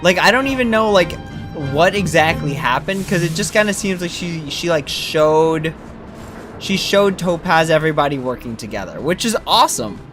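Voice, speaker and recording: A man's voice: on the high side, expressive, moderate pace. A man giving casual, rambling gameplay commentary as a streamer, talking informally. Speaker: game streamer. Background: game music.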